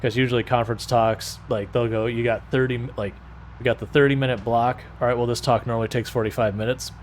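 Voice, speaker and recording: A man's voice: mid-range voice, fairly even intonation, fast talker, a little hiss or hum. Chill streamer guy, soothing voice, kinda rambly, restarts his sentences a lot. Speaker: game streamer. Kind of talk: casual chat with stream viewers.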